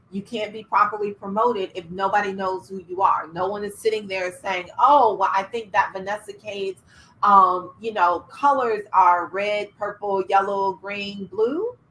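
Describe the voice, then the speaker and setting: A middle-aged woman coaching entrepreneurs in an online workshop, energetic, laughing and asking the audience questions. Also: medium pitch, normal speed, low-quality recording. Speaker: business coach. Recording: faint background noise.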